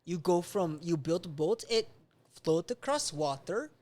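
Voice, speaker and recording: A man voicing a weary, self-pitying boat builder in a slow, put-on European accent, drawn out and plaintive. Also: sits high, expressive, a little mellow. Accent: fake slow European accent.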